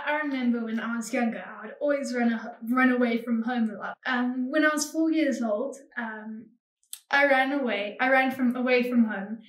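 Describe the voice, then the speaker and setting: Young girl, shy halting answers, a little far from the mic, roomy echo. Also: a little high. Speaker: missionary kid. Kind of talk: answering questions. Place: home dining room, indoors. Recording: echoey room, slightly distant.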